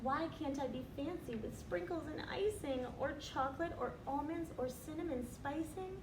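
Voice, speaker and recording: Woman voicing a plaintive, high-pitched sad little cookie. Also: normal speed, natural voice. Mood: wistful, envious. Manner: plaintive, wistful sing-song lament. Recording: roomy, distant, noisy recording.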